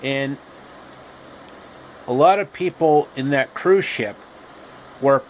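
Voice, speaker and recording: A man telling an improvised comic retelling of a fairy tale, haltingly and repeating phrases. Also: medium pitch, low-quality recording. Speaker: storyteller. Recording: steady background noise.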